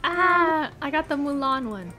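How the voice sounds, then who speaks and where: A young woman chatting excitedly with friends while playing a game, often gasping and laughing. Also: high-pitched, unhurried. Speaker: player. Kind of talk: casual gaming chat. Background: game music.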